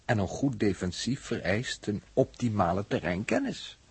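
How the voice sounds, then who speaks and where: A man's voice: fairly low pitch, sing-song, vocal fry, a little mellow, breathy edge, dry recording, exaggerated voice. An actor playing a sober, sceptical spaceship crewman, formal and methodical, in a 1960s radio drama. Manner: formal, measured, dry.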